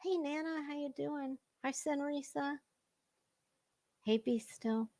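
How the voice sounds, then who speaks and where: A woman hosting a gossip commentary show, reading aloud dramatically and commenting with blunt, scornful sarcasm and emphatic, drawn-out words. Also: high-pitched, mellow, no echo.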